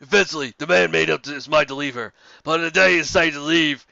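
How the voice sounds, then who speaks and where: A man's voice: fairly high pitch, fast, creaky, pushed and bright, lo-fi sound. A man voicing a sorrowful, self-blaming husband who confides in a friend, in a dramatic fan fiction reading. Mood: grave.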